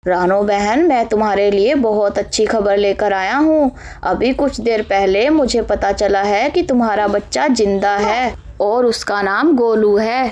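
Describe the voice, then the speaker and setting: Cartoon male bird friend, kindly, earnest, unhurried, lowered pitch; performed by a woman. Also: darkish tone, close to an everyday voice. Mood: reassuring. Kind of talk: animated moral story dialogue.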